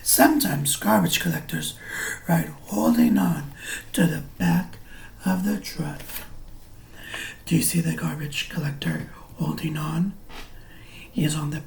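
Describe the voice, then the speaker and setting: Guy teacher reading a kids book, really slow, gravelly edge, bit of background noise. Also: on the high side, lively intonation, darkish tone. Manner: simple, deliberate explaining aimed at young children. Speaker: preschool or early grade teacher. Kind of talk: children's picture book read-aloud.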